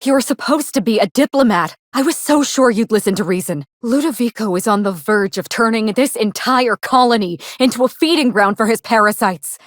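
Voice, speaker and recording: A woman playing a vengeful, theatrical actress turned assassin in a video game, speaking in a dramatic, deliberate and menacing way. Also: on the high side, no room sound, clean studio sound, lightly acted. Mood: indignant, reproachful. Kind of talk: scripted game dialogue.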